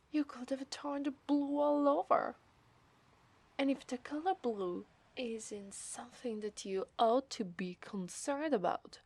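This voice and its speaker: Woman acting as a flirtatious obsessed mermaid, Italian accent, high voice, slow delivery, coy teasing, ASMR roleplay. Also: a little mellow, dry recording, full character voice. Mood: wistful, eerie. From Italy. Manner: coy, teasing, breathy murmurs. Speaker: ASMR voice actress. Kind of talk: ASMR roleplay, soft acted monologue.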